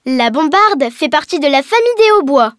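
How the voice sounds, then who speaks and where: A woman's voice: high voice, very animated, normal speed, dry recording, studio quality. A woman voicing a cheerful young girl who explains and encourages in an educational cartoon. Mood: bright, explanatory. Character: cheerful young Breton girl teaching her alien friend. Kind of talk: scripted educational cartoon dialogue. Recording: music behind the voice.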